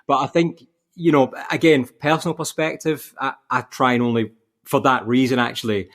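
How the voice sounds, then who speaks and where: A man's voice: medium pitch, fairly even intonation, normal speed. A man chatting casually and anecdotally as a podcast co-host.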